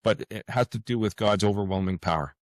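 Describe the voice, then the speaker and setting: A man answering as a guest author in a conversational interview, earnest and halting as he searches for words. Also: lowish voice, no room sound, studio quality.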